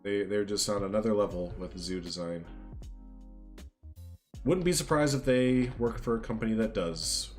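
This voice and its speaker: A man's voice: on the low side, normal speed, pauses often, darkish tone, soft background music. A man hosting a casual livestream, stuffed up from a sinus cold, chatting in a friendly, rambling way and reading out chat. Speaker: livestream host.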